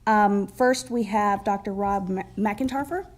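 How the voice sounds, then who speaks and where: A woman at a council meeting introduces appointees one by one, with a friendly tone. Her voice sits at a middle pitch. She often pauses with ums. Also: darkish tone. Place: council chamber.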